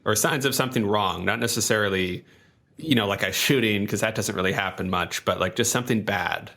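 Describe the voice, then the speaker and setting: A man's voice: lowish voice, a little fast, sharp tone. A man playing a tabletop game, casually improvising what his character does.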